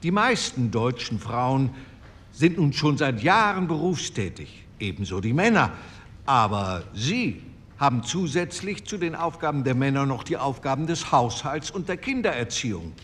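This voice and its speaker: A persuasive postwar delegate. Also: male voice, medium pitch, big pitch swings, normal speed, fairly bright tone. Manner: formal, argumentative parliamentary oratory. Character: male postwar CDU delegate, earnest and persuasive. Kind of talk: radio drama speech reenactment. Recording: reverberant hall with faint room noise.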